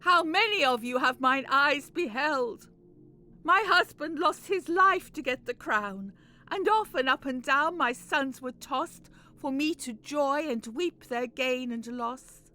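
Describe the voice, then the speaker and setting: A woman's voice: sits high, lively intonation, sharp tone, full character voice. A woman playing a proud, grieving elderly royal grandmother, speaking theatrical verse in a Shakespearean audio drama. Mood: bitter lament. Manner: slow, theatrical, lamenting verse delivery.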